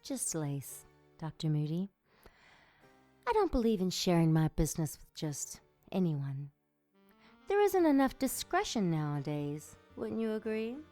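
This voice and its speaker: Slinky femme fatale, young, dark soft low voice, drags words out real slow, teasing and dangerous, radio drama. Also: female voice, sing-song, faint music behind. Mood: coy, teasing. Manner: slow, breathy purring, teasing and commanding. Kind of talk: audio drama acting.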